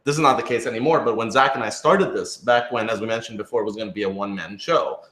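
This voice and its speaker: A man with a fairly low voice talks through his thoughts in a casual panel discussion. He hedges and peppers his speech with "like". The room adds a clear echo around him. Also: fast, a bit bright. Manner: thoughtful, hedging, frequent "like". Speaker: podcast creator and writer in a group Q&A. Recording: roomy, echoey room sound.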